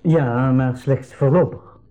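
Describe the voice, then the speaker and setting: A man playing a composed rebel leader in a radio drama, earnest, measured and deliberate. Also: medium pitch, steady tone, dark tone, faint music behind, close to an everyday voice. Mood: composed, resolute. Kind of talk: 1980s school radio drama acting.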